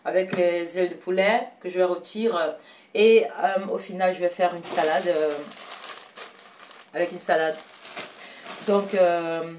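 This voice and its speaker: A woman's voice: fairly low pitch, darkish tone, recorded from a distance. A woman from Cameroon with a Cameroonian French accent, hosting a casual home cooking demonstration in her kitchen, talking hesitantly with many fillers and self-corrections and addressing viewers fondly. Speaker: cooking show host.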